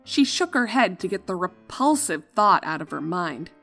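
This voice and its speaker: Animated woman narrating a story. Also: lowish voice, moderate pace, gravelly, soft background music. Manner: dramatic, expressive. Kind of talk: expressive scripted story narration.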